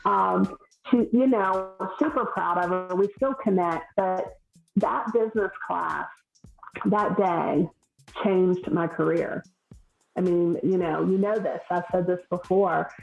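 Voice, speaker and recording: A woman with a Southern US accent, a hairstylist talking as a podcast guest in an earnest, halting way full of restarts. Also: lowish voice, vocal fry, echoey room, lo-fi sound, faint music behind. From Southern United States. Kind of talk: podcast conversation.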